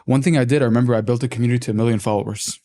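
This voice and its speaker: A man in his twenties from Lebanon, a podcast guest telling his story in a relaxed, candid, reflective way. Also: mid-range voice, rapid-fire. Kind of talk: casual interview talk.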